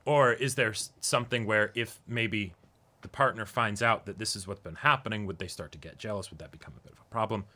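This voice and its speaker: A man with a bright, fairly low voice talks casually as a co-host. He thinks aloud in tentative questions. He often stops to check that the other person agrees. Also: brisk. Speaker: co-host of an anime reaction show. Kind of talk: casual discussion of an anime episode.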